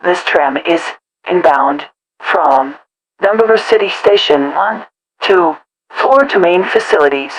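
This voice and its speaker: A woman with a slightly low voice delivers automated transit announcements. She recites each scripted message formally and in a measured way, as a public-address system announcer does. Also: animated, lo-fi sound.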